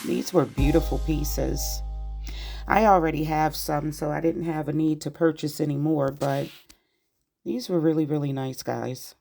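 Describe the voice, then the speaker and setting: A woman with a raspy voice, chatting casually to her viewers in a shopping vlog from inside a store. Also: low voice, steady tone, measured pace. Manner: friendly chatty address to viewers. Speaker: vlogger. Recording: loud background music.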